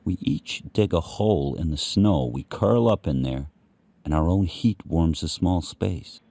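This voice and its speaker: Guy playing a grim sled dog telling his story, radio play style, really deep and slow. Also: mellow. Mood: hushed, bleak. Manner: spare, deliberate short sentences. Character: sled dog narrating his harsh life, grim and watchful. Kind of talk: first-person dramatic narration in a radio play. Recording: faint background noise.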